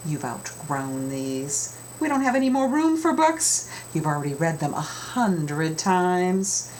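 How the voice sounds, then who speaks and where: A woman's voice: deep voice, sing-song, takes it slowly, a little mellow, a little hiss or hum, exaggerated voice. A woman voicing fussy, disapproving small-town grown-ups in a children's storybook, complaining and scolding. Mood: dismissive.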